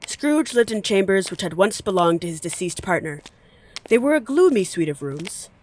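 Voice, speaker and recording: A woman playing a wry, theatrical storyteller, narrating an audio play with deliberate emphasis. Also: mid-range voice, very animated, darkish tone, rough recording. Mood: wry, suspenseful.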